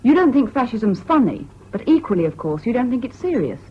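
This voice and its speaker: Animated, earnest English activist woman. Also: fairly high pitch, fairly quick. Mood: earnest, sardonic. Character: earnest, sardonic, combative young anti-fascist activist woman. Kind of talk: 1970s BBC radio drama acting. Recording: muffled old radio recording, faint hiss.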